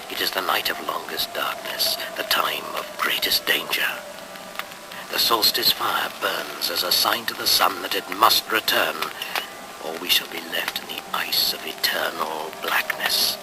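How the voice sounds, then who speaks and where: A solemn shaman, with a very low voice and measured, incantatory delivery. It is grave 1970s radio drama acting, with noise clearly audible on the old recording. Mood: solemn invocation. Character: solemn shaman leading a solstice rite.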